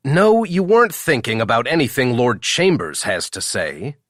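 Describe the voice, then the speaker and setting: A man voicing a brisk young male coworker who teases and needles in quick, chirpy bursts. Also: medium pitch, sing-song, fairly bright tone, no room sound, clean studio sound, pitched above the performer's own voice. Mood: teasing.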